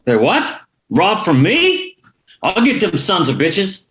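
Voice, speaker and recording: A man's voice: high voice, wildly swooping pitch, slow pace, fairly bright tone, heavily acted. A man playing a cocky, crude mob boss with a brash manner in a screenplay table read. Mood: outraged.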